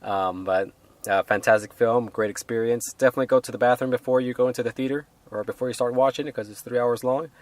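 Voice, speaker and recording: A man reviewing a film in a casual, unscripted way, halting and rambling as he hedges his points. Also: medium pitch, fairly even intonation, no room sound.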